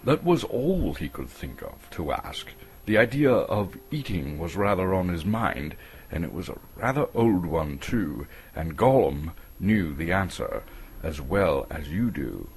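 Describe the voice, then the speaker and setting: A man's voice: sits low, big pitch swings, measured pace. A man from Canada giving a dramatic, scripted reading of a storybook as its narrator.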